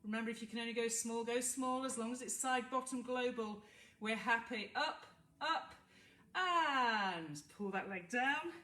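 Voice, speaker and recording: A woman's voice: fairly high pitch, a bit bright, far from the mic. Congested British woman leading a Pilates class. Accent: British English. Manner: demonstrating while cueing, counting reps, encouraging. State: congested from hay fever. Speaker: Pilates instructor. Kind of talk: guided exercise class instruction. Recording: echoey room, voice from afar, faint hiss.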